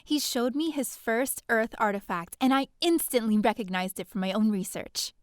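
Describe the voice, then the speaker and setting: A woman's voice: fairly high pitch, animated, normal speed, no echo, studio quality, close to an everyday voice. A woman playing a chatty, bookish young heroine in a space-opera audio drama, theatrical and sardonic, full of casual asides. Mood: earnest storytelling. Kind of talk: first-person scripted audio drama narration.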